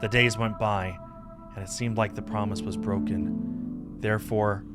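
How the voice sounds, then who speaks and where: A man voicing a reserved male forest dweller who guides and tells legends in a measured way in a visual novel. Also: fairly low pitch, fairly even intonation, over music, natural voice. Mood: solemn storytelling.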